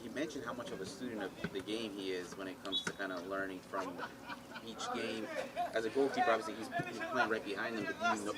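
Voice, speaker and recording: A man's voice: lowish voice, very noisy. A male sports reporter asking long, rambling press questions. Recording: distant mic, loud crowd.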